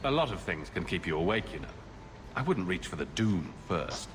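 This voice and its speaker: A man's voice: deep voice, big pitch swings, moderate pace. An actor playing a wry, easygoing male adventurer hero in video game dialogue. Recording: roomy echo, game music behind.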